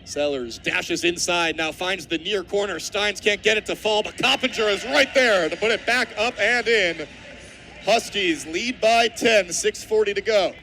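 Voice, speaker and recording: A young man with a high-pitched voice calls basketball on the radio. He describes each play in running detail and swells on the big moments, over light background noise from the game. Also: measured pace, sharp tone. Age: 20s. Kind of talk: live radio sports commentary. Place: courtside at basketball games.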